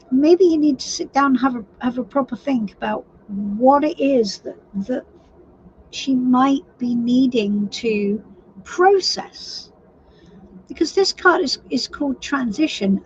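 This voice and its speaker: Woman reading tarot, husky croaky voice but pitched high, slow easy talk, kinda dull muffled sound w faint hiss. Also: a little mellow. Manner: unhurried, reassuring, thinking aloud. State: hoarse. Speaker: tarot reader.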